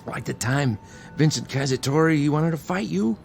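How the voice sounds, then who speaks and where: A man acting in a radio drama as a beaten-up, protective older brother, streetwise and wisecracking, talking in a wry, halting way. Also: on the high side, animated, gravelly, darkish tone, faint music behind. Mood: reassuring, earnest.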